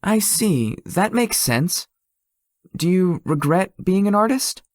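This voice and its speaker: A man playing a lazy, sweet-toothed young tech company founder in a visual novel, speaking in an awkward, low-key, hesitant way. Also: lively intonation, takes it slowly, no echo, clean studio sound. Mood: laid-back. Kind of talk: visual novel character dialogue.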